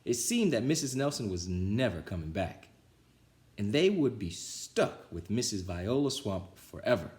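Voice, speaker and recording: A man reads a children's storybook aloud for storytime. His voice sits fairly low, and his intonation is hugely varied, rising and falling dramatically as he narrates. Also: sing-song, a little slow, darkish tone. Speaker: storybook reader.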